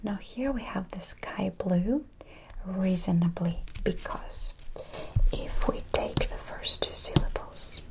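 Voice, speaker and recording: A woman teaching a language in a breathy ASMR whisper, encouraging and repeating words syllable by syllable. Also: mid-range voice, takes it slowly.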